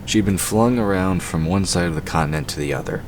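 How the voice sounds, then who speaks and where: A man's voice: low voice, a little fast. A man reading scripted fantasy story narration for an audio drama. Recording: noisy hobby recording.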